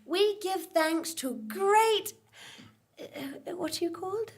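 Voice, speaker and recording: A woman's voice: extremely high pitch, slow pace, heavily acted. A woman playing a sweet, sympathetic lady adventurer in a live comic audio drama, polite and theatrical. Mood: reverent, polite.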